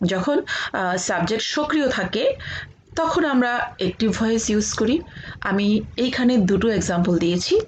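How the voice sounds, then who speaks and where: A woman with a Bangladeshi accent lectures in the explanatory way of a college teacher. Her voice sits at a middling pitch for a woman, and she often pauses on hesitation sounds. Some noise runs behind her in the recording. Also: expressive. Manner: halting, with frequent filled pauses. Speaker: college English grammar teacher. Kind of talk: explanatory online lecture with examples.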